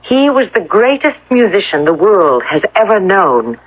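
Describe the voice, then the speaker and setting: A woman's voice: mid-range voice, big pitch swings, moderate pace. A woman acting in a radio drama as a determined captive, coaxing and probing with insistent persuasion. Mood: persuasive. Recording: dull, dry lo-fi radio broadcast.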